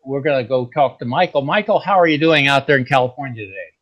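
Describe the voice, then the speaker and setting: A man hosting a podcast, talking in a relaxed, folksy, rambling way. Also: medium pitch, quick pace. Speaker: podcast host. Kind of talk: casual interview chat. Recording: slightly dull lo-fi sound.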